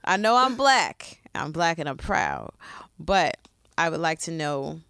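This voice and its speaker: A woman co-hosting a casual podcast, her voice bright and crisp. She speaks earnestly and openly about herself. The recording is dry and close. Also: sits low, very animated, measured pace, no echo. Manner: earnest and open, laughs readily. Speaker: podcast co-host.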